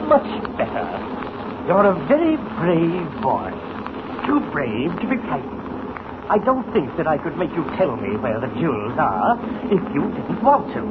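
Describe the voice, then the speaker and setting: Silky, menacing villain, tinny old radio. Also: male voice, on the high side, very animated. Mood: silky, sinister. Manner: theatrical, deliberate, mock-polite threats. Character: menacing, silky-voiced masked male criminal mastermind. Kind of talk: 1940s radio serial acting. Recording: scratchy, noisy, tinny old radio recording.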